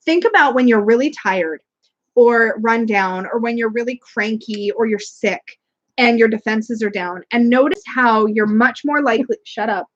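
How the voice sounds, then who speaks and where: A woman's voice: fairly high pitch, normal speed. A woman co-hosting a casual live stream, talking frankly and chattily with jokes and swearing. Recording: dull lo-fi stream audio.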